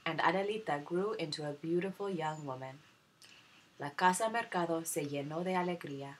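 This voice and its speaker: A woman teacher reading a children's storybook aloud in an expressive narrating style. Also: deep voice, rough recording.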